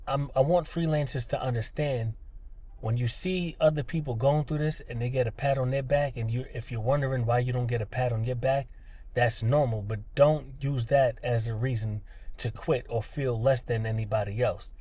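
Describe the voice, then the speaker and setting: A man's voice: mid-range voice, fairly even intonation, moderate pace, mellow. A man hosting a motivational podcast in a conversational, earnest, plainspoken way, speaking directly and emphatically to the listener. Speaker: podcast host, freelancer.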